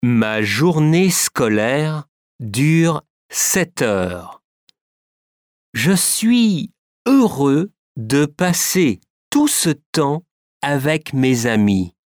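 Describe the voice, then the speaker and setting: A man giving a careful, clearly articulated scripted reading for a language course. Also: mid-range voice, big pitch swings, extremely slow, no room sound, clean studio sound. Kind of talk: slow scripted reading for learners.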